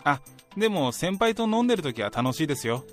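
A man's voice: a little high, sing-song, a little fast. A man playing an ambitious, self-assured young office worker in a radio drama, speaking politely and earnestly to his senior. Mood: polite, reserved. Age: young adult. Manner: polite junior speech, talkative about ambitions. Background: background music.

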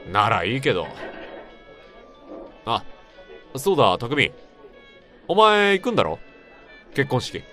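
Animated, sentimental male buddy. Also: mid-range voice, slow, long pauses, faint music behind, heavily acted. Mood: prodding. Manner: emphatic, colloquial, animated. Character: excitable, sentimental man, old school buddy. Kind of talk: radio drama dialogue, casual banter.

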